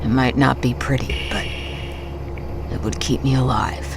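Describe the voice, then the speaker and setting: An actress playing a world-weary exiled ex-cop in noir audio drama narration, brooding, wry and terse. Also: female voice, steady tone, a little slow, gravelly, heavily acted. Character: world-weary exiled woman, ex-cop and ex-boxer, hard-boiled. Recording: loud music and engine rumble behind.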